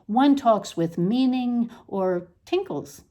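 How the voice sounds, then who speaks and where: A woman reading a literary essay aloud as scripted narration, with deliberate, measured and emphatic phrasing. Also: fairly high pitch, expressive, mellow. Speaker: essay reader.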